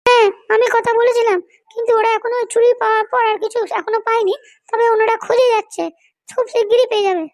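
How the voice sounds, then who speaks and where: A woman's voice: very high-pitched, steady tone, fast talker, dry recording, clean studio sound. A woman voicing a soothing, reassuring helper in a comedy cartoon, with consoling words. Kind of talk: amateur comedy cartoon dialogue.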